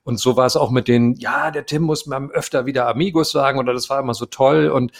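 A man's voice: medium pitch, steady tone, fast talker. A man in his fifties, a voice actor as an interview guest, answering at length with many hesitations and fillers.

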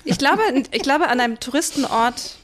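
A woman's voice: sits high, normal speed, fairly bright tone. A woman who co-owns a restaurant, explaining her views in a casual podcast chat, hedging often. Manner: thoughtful, explains with hedging and fillers. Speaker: restaurant co-owner.

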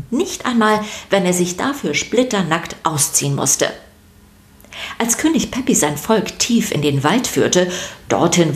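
Low-pitched woman narrating for children. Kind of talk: scripted children's storytelling narration.

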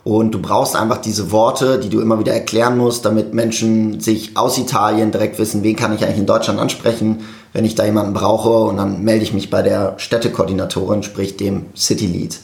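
A male host chats with his guests, explaining things plainly and handing out praise. His voice sits a bit low. The room adds a noticeable echo. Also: flat delivery, a little fast, vocal fry, darkish tone. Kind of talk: casual podcast interview. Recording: roomy echoey room.

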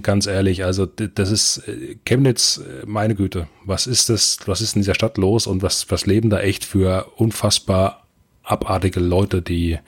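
A man's voice: low-pitched, breathy edge, no echo, studio quality. A man on a podcast panel, chatting casually in a blunt, opinionated way. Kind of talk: casual chat.

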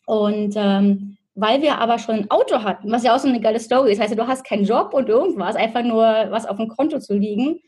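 A woman in her thirties from Berlin, a guest telling her story in a relaxed, chatty way, with many fillers and restarts and frequent laughs. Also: fairly high pitch, brisk, husky. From Berlin, Germany. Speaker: interview guest. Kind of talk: casual conversational storytelling. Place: in Australia.

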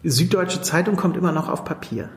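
A man's voice: a little high, animated, fairly quick, echoey room. A man talking as an interview guest in a casual, hesitant and reflective way.

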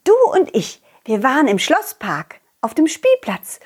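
A woman voicing a confident, loyal, cheerful dog who tells stories to children in an excitable, childlike way, as in an audio play. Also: sits high, measured pace, breathy edge, exaggerated voice. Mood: cheerful, excited. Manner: excitable, childlike, speaking directly to child listeners. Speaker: puppeteer and storyteller.

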